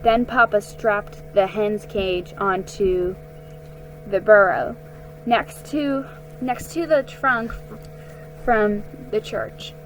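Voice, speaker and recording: A woman giving a dramatic, expressive reading of a children's story, with a slightly halting delivery. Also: medium pitch, rough recording. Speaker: amateur story reader. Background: music under the reading.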